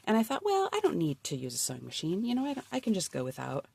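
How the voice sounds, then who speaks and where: A woman's voice: medium pitch, huge pitch swings, fast talker, vocal fry, a little mellow, slightly rough. A woman with a cold, chatting casually as she flips through her handmade journal, bubbly and often dropping to a murmur. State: sniffly from a cold. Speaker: crafter showing a handmade journal.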